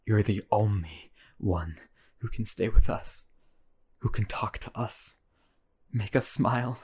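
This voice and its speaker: Low male voice, obsessive vampire brother, acted by a man, lonely, earnest, coaxing, roleplay drama. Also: slow pace, a little mellow, breathy edge, full character voice. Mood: pleading devotion. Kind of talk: boyfriend ASMR roleplay audio drama.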